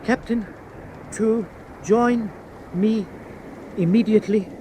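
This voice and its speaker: Anxious high-voiced naval lieutenant. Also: male voice, slow pace, background noise. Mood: uneasy, dutiful. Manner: hesitant, earnest, respectful. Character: anxious, dutiful young male U-boat lieutenant. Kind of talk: vintage-style radio drama acting.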